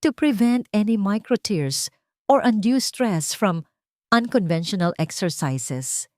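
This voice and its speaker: Woman playing a sharp, condescending lady doctor. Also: medium pitch, animated, takes it slowly, no room sound, studio quality, pitched above the performer's own voice. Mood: falsely calm. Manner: cutting, patronizing, mixes in English medical terms. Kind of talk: audio drama character dialogue.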